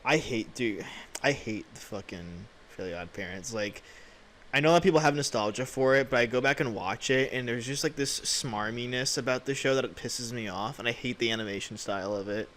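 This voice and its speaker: A man's voice: mid-range voice, a little fast, fairly bright tone. A young American man co-hosting a podcast, chatting casually in a blunt, opinionated, sweary way. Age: young adult. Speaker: podcast co-host. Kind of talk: casual chat.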